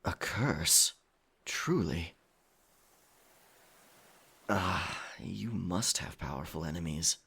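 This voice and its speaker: A man playing a roguish, gallant pirate captain in an ASMR audio roleplay, with a husky, breathy voice and dramatic, unhurried delivery. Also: low-pitched, full character voice. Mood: grave realization. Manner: slow, dramatic, hushed at times.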